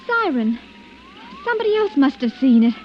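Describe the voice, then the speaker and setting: An actress playing an anxious, loyal, deferential suburban housewife in an old radio drama. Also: female voice, sits high, sing-song, moderate pace. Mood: wondering, uneasy. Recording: muffled, hissy vintage radio recording.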